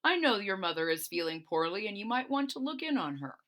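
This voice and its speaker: A woman voicing a considerate work supervisor who speaks gently. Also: mid-range voice, big pitch swings, fairly quick. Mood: kind.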